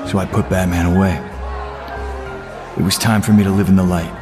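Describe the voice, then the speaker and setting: A man's voice: low-pitched, steady tone, a little fast, a crowd in the background, natural voice. An actor playing a brooding, haunted retired male vigilante in an audio drama, grave and confiding. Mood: haunted, confessional. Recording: music behind the voice.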